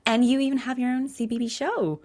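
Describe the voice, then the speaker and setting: A woman's voice: a little high, fairly even intonation, fairly quick, a little mellow. A woman hosting a show, chatting casually as she interviews a guest.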